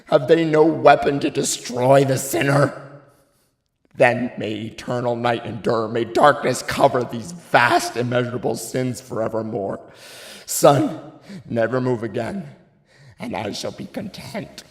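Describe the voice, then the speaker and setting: A man's voice: medium pitch, a little slow, close to an everyday voice. A man playing an anguished, grieving father in a classical verse tragedy, declaiming solemnly and with passion. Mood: despairing. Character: anguished, grieving father, betrayed brother of a king. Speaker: stage actor. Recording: long reverb, music underneath.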